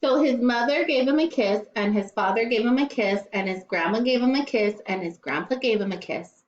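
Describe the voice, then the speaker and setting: Lady teacher reading a kids book out loud, expressive, bit muffled and echoey. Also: a little high, brisk. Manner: expressive, with playful asides. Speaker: primary school teacher. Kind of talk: storybook read-aloud for children. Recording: echoey, slightly dull room recording.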